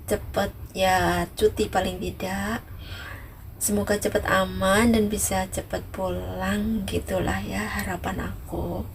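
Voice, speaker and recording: A woman from East Java chats casually to viewers in a repetitive way, often adding small tags that seek agreement. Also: mid-range voice. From Ngawi, East Java, Indonesia. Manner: repetitive, frequent softening tags seeking agreement. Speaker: vlogger, migrant worker. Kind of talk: casual vlog chat to viewers. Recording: distant mic, noisy ambient background.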